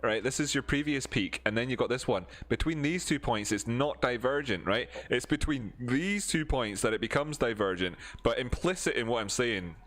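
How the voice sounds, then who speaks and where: A man's voice: mid-range voice, lively intonation, moderate pace, hardly any pauses, a bit bright. A man with an Edinburgh Scottish accent, chatting casually on a live stream, answering chat and explaining charts with lots of fillers and restarts. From Scotland. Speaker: crypto streamer.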